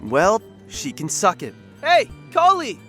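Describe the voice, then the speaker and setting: An actor playing a cocky, playful young space biker and best friend in an audio drama, brash, teasing and theatrical. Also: male voice, high voice, huge pitch swings, slow pace, music in the background, full character voice.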